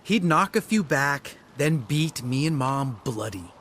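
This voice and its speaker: An actor playing a younger monster hunter in a fantasy video game, cynical and bitter, with a blunt, sardonic manner. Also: male voice, medium pitch, expressive, measured pace, fairly bright tone, a little hiss or hum.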